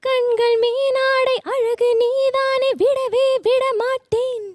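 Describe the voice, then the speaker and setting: A woman's voice: very high-pitched, little pitch movement, slow pace, dry recording, clean studio sound. A young woman singing Tamil film songs as a talent show contestant, with music behind her. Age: young adult. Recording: loud music backing.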